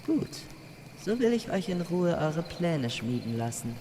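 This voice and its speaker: A man's voice: on the high side, big pitch swings, measured pace, warm tone, in a large hall, a little hiss or hum, voice pushed up. A man voicing a teasing, courtly, light-footed magical woman in a fairy-tale audio drama.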